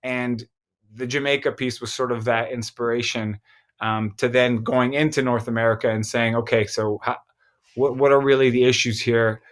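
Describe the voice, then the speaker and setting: An Egyptian-born man talking as a filmmaker guest in a casual interview, thoughtful, with frequent pauses and restarts. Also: medium pitch, steady tone. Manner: thoughtful, many fillers and restarts.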